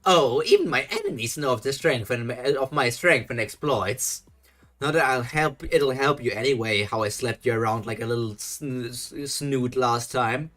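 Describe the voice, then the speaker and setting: A man voicing a boastful, arrogant male pirate gladiator who taunts in a smug, self-flattering way while reading a story aloud. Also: mid-range voice, normal speed, natural voice.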